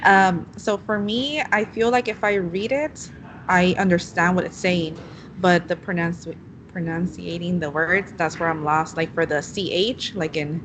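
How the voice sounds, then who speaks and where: A woman's voice: lowish voice, steady tone, measured pace, a bit bright, slight background noise, rough recording. A young woman whose first language is Spanish, a student teacher chatting in a casual class discussion and saying "like" often. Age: young adult. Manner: chatty, heavy use of "like".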